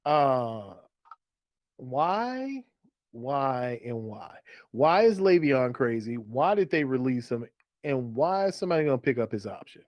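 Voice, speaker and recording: A man's voice: mid-range voice, big pitch swings, unhurried, a little mellow, no echo. A man co-hosting a fantasy football show in a casual, conversational way, posing questions. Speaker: fantasy football podcast co-host. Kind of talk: casual sports chat.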